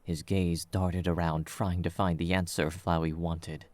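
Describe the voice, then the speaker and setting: A man's voice: deep voice, little pitch movement, a little slow, a little mellow, dry recording. A man reading scripted third-person story narration as the narrator of an audio drama.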